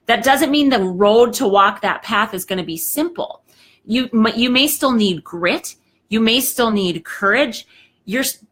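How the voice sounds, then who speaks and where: A woman's voice: medium pitch, a bit bright. Woman in her 30s or 40s doing a chatty live talk, emphatic, keeps saying okay, bit froggy. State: slightly hoarse, clearing throat. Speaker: host of a live talk show. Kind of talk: casual motivational talk.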